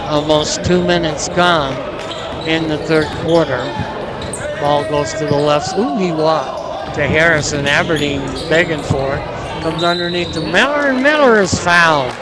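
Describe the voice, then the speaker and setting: An older man doing play-by-play for a local high school basketball game, folksy and rambling with asides between plays. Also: unhurried, very noisy. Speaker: play-by-play announcer. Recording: loud gym crowd behind.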